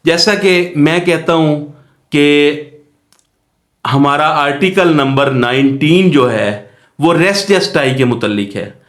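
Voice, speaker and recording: A man's voice: fairly high pitch, slow pace. A man with a Pakistani accent teaching law in an explanatory lecture, posing questions and answering them himself in a didactic way. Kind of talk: explanatory lecture with worked examples. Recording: echoey room.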